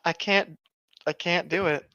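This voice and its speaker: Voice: mid-range voice, steady tone, measured pace, noisy background. A speaker doing a mocking dramatic reading with exasperated, sarcastic ad-lib commentary, on a slightly dull microphone. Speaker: co-reader riffing on a horror story.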